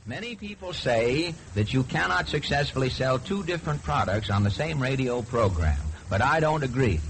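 Male 1930s radio emcee, mid-pitched, comic scripted patter, slight hiss of an old recording. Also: expressive, normal speed. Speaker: radio show host.

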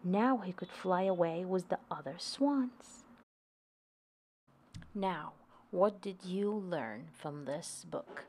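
Female storybook reader for young kids, unhurried and deliberate, slightly low pitch, faint noise behind. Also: darkish tone. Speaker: host reading picture books to children. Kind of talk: read-aloud storybook narration.

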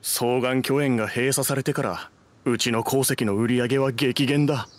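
An actor playing a grumpy, short-tempered middle-aged book collector in an anime video game, curt and pompous. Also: male voice, medium pitch, steady tone, moderate pace, lightly acted. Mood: bitter.

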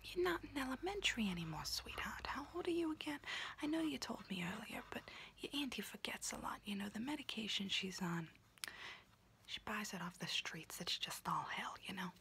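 A woman's voice: mid-range voice, animated, brisk, creaky, a bit bright, slight background noise, voice pushed up. A woman voicing an unhinged, conspiracy-minded auntie doing someone's nails, whispering throughout in an ASMR roleplay and rambling with pet names.

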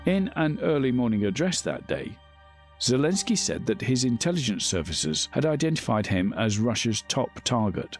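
A man's voice: medium pitch, very animated, moderate pace, faint music behind. A man reading scripted narration for a documentary.

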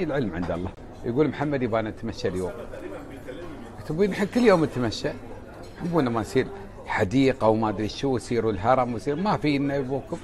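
A man in his forties with an Emirati Arabic accent, chatting casually into a phone on an earphone mic in a hotel room, animated and emphatic. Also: mid-range voice. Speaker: social media vlogger. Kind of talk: casual selfie vlog chat. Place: indoors by a window, hotel room. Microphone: wired earphone mic, phone at arm's length.

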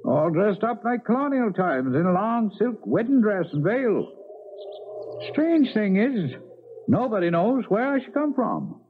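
An actor playing a folksy, gossipy old New Hampshire local in a rambling rustic manner for a 1970s radio drama. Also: male voice, sits high, big pitch swings, unhurried. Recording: lo-fi 1970s radio, faint noise.

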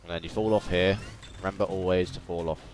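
A young man with a low-pitched voice chats through a video game. He rambles casually and playfully, repeating himself as he comments. Game music is clearly audible behind him. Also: fairly even intonation, fast talker, a little mellow. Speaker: gaming video host. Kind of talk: casual let's-play commentary. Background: video game music and sounds.

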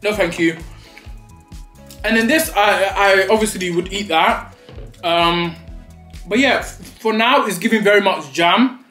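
A man's voice: sits high, a little slow, pauses often, sharp tone, echoey room, soft background music. A young man, chatting dramatically and playfully in a food vlog while eating at a table. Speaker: vlogger. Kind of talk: mukbang vlog chat. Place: small indoor studio set at a table.

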